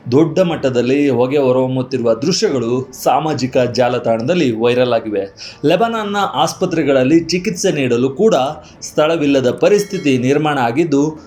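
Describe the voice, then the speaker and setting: A man reading a scripted news voice-over with brisk, emphatic headline delivery. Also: a little high, a little mellow. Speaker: news narrator. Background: faint music bed.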